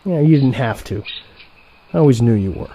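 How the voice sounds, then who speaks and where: Casting: male actor for brash younger assassin, audio drama, soft-edged timbre, very animated intonation, needling and sarcastic. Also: mid-range voice, normal speed, slight background noise, natural voice. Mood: needling, eager. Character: excitable, cocky younger assassin, male trainee turned rival. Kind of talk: audio play dialogue.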